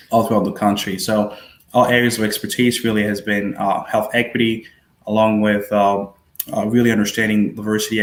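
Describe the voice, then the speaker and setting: Hospital administrator type, man from Haiti, lowish voice, hesitant delivery, roomy sound. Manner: halting, many fillers and repeated words. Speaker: panel guest, hospital administrator. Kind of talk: self-introduction on a webinar panel.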